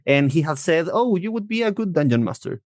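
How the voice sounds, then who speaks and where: Higher-voiced man from Chile, thirties. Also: sing-song, fairly quick, darkish tone, no room sound, clean studio sound. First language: Spanish. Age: 30s. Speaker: podcast guest. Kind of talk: casual interview chat.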